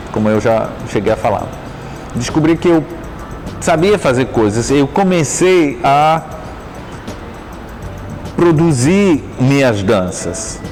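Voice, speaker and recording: Middle-aged guy, dance teacher, telling his story slow and halting, keeps restarting, faint music in the back. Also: mid-range voice, darkish tone, low-quality recording. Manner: thoughtful, halting, frequent restarts and self-corrections. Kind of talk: reflective life-story interview.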